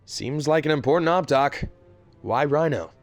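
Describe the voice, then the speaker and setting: A man's voice: on the high side, lively intonation, normal speed, soft background music. A man playing a no-nonsense Marine captain in an audio drama, speaking directly and with command. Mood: brisk, commanding. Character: no-nonsense male Marine captain leading his squad.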